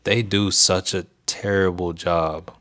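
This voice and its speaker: A young man hosting a casual stock trading livestream, talking in a soothing, even voice and chatting with viewers in a laid-back way. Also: low voice, takes it slowly. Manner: laid-back, keeps delivery even, reads chat aloud.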